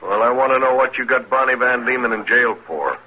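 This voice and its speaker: An actor playing a short-tempered Western gambler with blunt, folksy speech in an old radio drama. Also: male voice, mid-range voice, brisk, bright, forceful tone, muffled recording. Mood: indignant, demanding. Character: short-tempered frontier gambler, anxious for his girl. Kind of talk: 1950s radio western acting. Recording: old radio broadcast recording.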